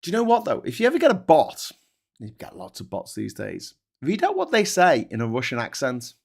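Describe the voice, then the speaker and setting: A man's voice: medium pitch, wildly swooping pitch, fairly quick, creaky. A man hosting a casual morning livestream, reading comments aloud with sarcastic, rambling asides. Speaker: host.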